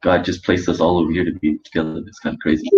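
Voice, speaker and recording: A man from the United States, talking in a halting way and searching for words as an interview guest. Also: sits low, little pitch movement, darkish tone. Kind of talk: casual interview chat. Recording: dull audio with faint background noise.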